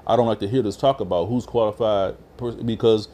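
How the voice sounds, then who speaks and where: A middle-aged man talks quickly in a slightly low, mellow voice. He restarts phrases and peppers his talk with "you know". He is picked up on a lapel mic in a small barbershop with a faint hum behind him. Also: fairly even intonation. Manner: repeats words, frequent "you know what I mean". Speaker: panelist. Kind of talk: casual discussion.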